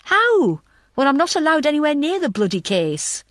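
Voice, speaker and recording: A woman's voice: sits high, very animated, normal speed, dry recording, clean studio sound, pitched above the performer's own voice. A woman narrator playing a weary, tearful female police detective in an audiobook, self-blaming and defensive. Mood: frustrated, self-blaming.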